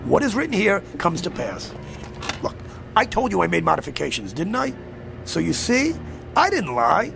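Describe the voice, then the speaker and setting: A sly, theatrical devil speaks in a high, gravelly voice over music. He is mock-genteel and menacing, teasing his prey with big melodic swings, in radio-drama style. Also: wildly swooping pitch, normal speed, heavily acted. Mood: mock-regretful menace.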